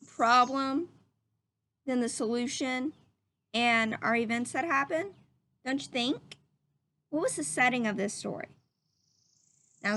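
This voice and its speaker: A woman's voice: fairly high pitch, fairly bright tone. A woman reading a picture book aloud to young children like a teacher, drawing out phrases and putting questions to her listeners.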